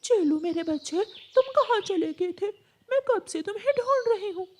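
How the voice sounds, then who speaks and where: A woman's voice: very high-pitched, lively intonation, moderate pace, a little mellow, a little hiss or hum, voice pushed up. A woman voicing an imposing, protective mother eagle in a cartoon, stern and emphatic. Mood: worried.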